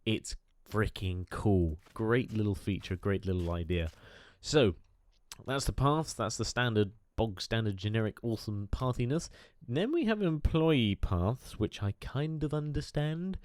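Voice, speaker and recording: A man's voice: lowish voice, animated, unhurried, a little mellow, no room sound. A man with a cold, nasal and chatty, talking over gameplay with lots of fillers. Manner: chatty, enthusiastic, rambling with fillers. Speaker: gaming YouTuber. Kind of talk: casual game commentary.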